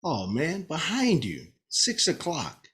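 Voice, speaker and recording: A man playing an impatient police investigator in a script table read, with a husky, exasperated delivery. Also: fairly high pitch, wildly swooping pitch, slow pace, fairly bright tone, heavily acted. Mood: exasperated, guarded.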